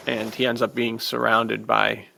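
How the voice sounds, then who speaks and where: A man's voice: fairly low pitch, flat delivery, measured pace, vocal fry, pushed and bright, a little hiss or hum, rough recording. A man co-hosting a podcast about fiction, talking casually and emphatically in informal, slangy speech. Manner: emphatic, informal, peppered with "dude" and "like". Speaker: podcast co-host and fiction author. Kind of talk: casual enthusiastic podcast chat.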